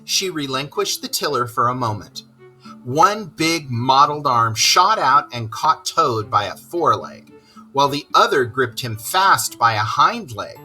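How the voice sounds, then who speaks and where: Slow, animated male children's storyteller. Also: mid-range voice, soft background music. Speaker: storyteller reading aloud to children. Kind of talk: expressive children's story narration.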